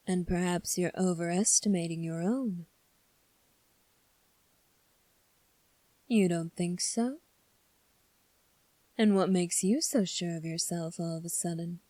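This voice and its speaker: A woman voicing a composed, coolly defiant young fantasy prince in an ASMR roleplay, speaking formally and deliberately with long pauses. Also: lowish voice, dark tone, dry recording. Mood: skeptical, challenging.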